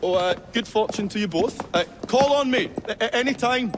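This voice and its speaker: A man playing a courteous, bumbling, excitable Victorian inventor in a video game, with a stammering, effusive manner. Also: high-pitched, fairly quick, noisy background. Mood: cheerful, bashful. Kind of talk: video game cutscene acting.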